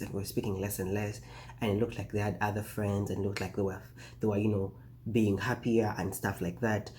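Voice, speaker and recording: Laid-back young man, mellow low voice. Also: slight background noise. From Uganda. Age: 20s. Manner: rambling, chatty, frequent fillers and restarts. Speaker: vlogger. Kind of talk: casual talking-head vlog.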